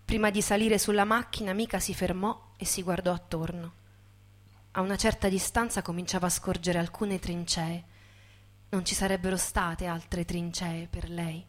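A woman giving a dramatic, scripted stage narration with intense, measured storytelling. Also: fairly low pitch, steady tone. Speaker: actress and narrator.

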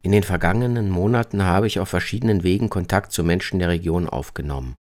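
A man's voice: low voice, fast, darkish tone. A man narrating a scripted first-person travel report, as a reporter, with music behind his voice. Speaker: reporter narrating own radio travel feature.

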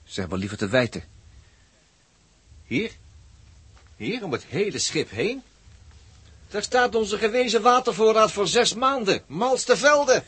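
A man acting an excitable, wry spaceship crewman in a 1960s radio drama, husky, repeating himself in exclamations. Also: high voice, measured pace, long pauses, dry recording, full character voice. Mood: sardonic.

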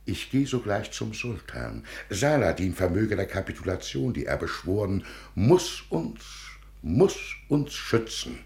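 Creaky, deep old zealot patriarch. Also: male voice, very animated, a little slow, a little mellow, full character voice. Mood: outraged. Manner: pompous, preaching, emphatic. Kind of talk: 1950s German radio play acting. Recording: echoey 1950s radio studio recording.

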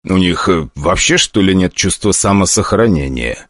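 A man voicing a cold, emotionless male demon hunter who speaks flatly like a machine. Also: sits low, moderate pace, dry recording. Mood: cold menace. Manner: flat, machine-like, dry menace.

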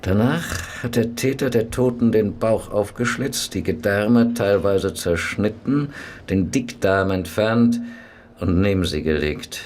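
A dry, grumbling pathologist acted by a man in a radio play. He speaks in a fairly low voice with clinical detachment, over slight background noise. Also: unhurried, darkish tone, close to an everyday voice. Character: matter-of-fact, grumbling male police pathologist. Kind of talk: German radio play acting.

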